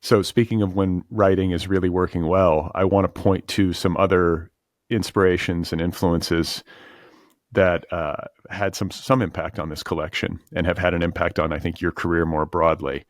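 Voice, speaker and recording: A man's voice: low voice, level tone, normal speed, no room sound, clean studio sound. A man hosting a podcast, asking conversational questions in a literary interview.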